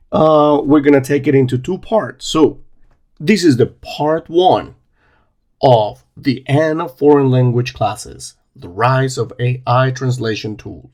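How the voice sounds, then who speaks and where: A man's voice: medium pitch, a little mellow. A man hosting a podcast, introducing his guest and the episode with effusive enthusiasm. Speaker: podcast host.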